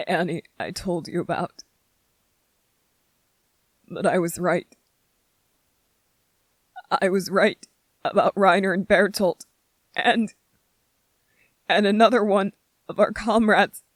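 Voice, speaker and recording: A performer voicing a gentle, grieving young male soldier in an intimate ASMR roleplay, speaking haltingly and emotionally, close to tears. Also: mid-range voice, no echo, natural voice. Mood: grieving, aching. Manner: halting, emotional, earnest, short broken phrases.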